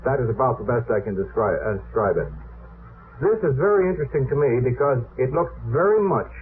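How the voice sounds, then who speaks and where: A man's voice: mid-range voice, lively intonation, brisk. A man explaining technical matters off the cuff as a guest on a radio panel, in a hesitant way. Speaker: guest expert on rockets. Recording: old radio recording, narrow phone-like sound, noisy.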